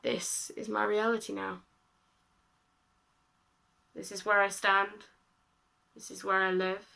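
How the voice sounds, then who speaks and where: A woman in her late teens performing spoken-word poetry, with dramatic, deliberate phrasing and repetition. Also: medium pitch, flat delivery, gravelly, sharp tone. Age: late teens, about eighteen. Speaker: slam poet. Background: music.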